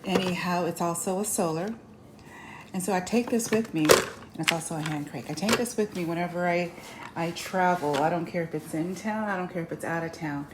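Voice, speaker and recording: Lowish-voiced woman casually reviewing a product. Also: fairly quick. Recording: noisy amateur recording with clicks.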